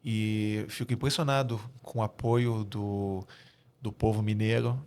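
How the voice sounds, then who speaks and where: A man in his forties from Rio de Janeiro with a Carioca accent, answering as a guest in a podcast interview, earnest and measured with frequent hesitations. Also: lowish voice, steady tone, darkish tone. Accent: Rio de Janeiro Brazilian Portuguese. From Brazil (Rio de Janeiro). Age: 40s. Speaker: guest, military spokesperson.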